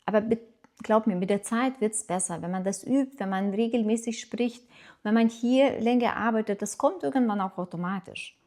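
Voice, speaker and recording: A woman's voice: a little high, normal speed, a little mellow. A middle-aged woman from Lithuania with a Lithuanian accent, speaking German as a second language, talking casually and encouragingly to camera as a language teacher, with hesitations and fillers.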